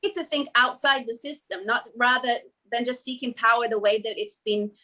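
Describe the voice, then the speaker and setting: A woman's voice: a little high, steady tone, moderate pace, sharp tone. Earnest Australian woman, flowing delivery. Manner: earnest, flowing, often adds "you know". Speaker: guest speaker. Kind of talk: reflective interview answers.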